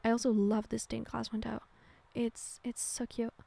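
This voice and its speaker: A woman's voice: on the high side, completely flat delivery, normal speed, vocal fry, warm tone. Chatty young woman. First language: Norwegian. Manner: rambling, chatty, self-correcting with many fillers. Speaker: game builder narrating a speedbuild. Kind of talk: casual voice-over chat.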